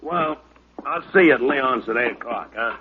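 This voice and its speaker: A man's voice: mid-range voice, animated, normal speed, close to an everyday voice. Guy doing dopey big eater in old radio show, tinny with some hiss. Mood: eager. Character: oafish big eater, slow-witted and good-natured. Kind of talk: 1940s-50s radio acting. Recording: noisy old radio broadcast, narrow band.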